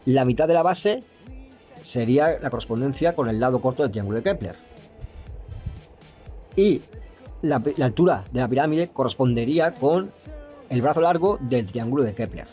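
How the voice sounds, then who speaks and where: Hesitant man explaining geometry, dark voice. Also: mid-range voice, animated, moderate pace, pauses often, soft background music. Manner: hesitant, with frequent restarts and fillers; stumbles when reading English passages aloud. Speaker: math explainer. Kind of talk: explaining geometry, reading notes aloud.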